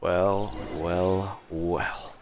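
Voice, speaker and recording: A man streaming a video game, giving brief, drawn-out, casual reactions. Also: low voice, level tone, background noise.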